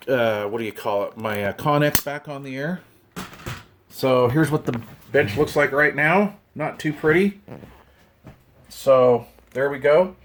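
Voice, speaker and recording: A man's voice: medium pitch, a little hiss or hum. A man in his fifties chatting casually through an unboxing, in a folksy, rambling way with informal slang. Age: 50s. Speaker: radio hobbyist vlogger.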